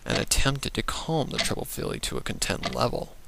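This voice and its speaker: A man's voice: medium pitch, wildly swooping pitch, a little fast, gravelly, noisy background. A man reading a scripted dramatic story as its narrator. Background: loud background music.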